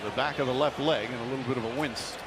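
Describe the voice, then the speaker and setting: A man's voice: medium pitch, quick pace, background noise. A man calling live basketball play-by-play in clipped phrases that track the action. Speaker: play-by-play announcer. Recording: loud music over broadcast.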